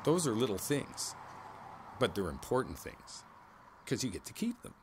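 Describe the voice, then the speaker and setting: Man playing a low-voiced, kindly older neighbor. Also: sing-song, slight background noise. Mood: reflective, earnest. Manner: folksy, rambling, pausing, chuckling between thoughts. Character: kindly older male neighbor, easygoing, philosophical, reassuring. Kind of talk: ASMR roleplay, one-sided conversational monologue.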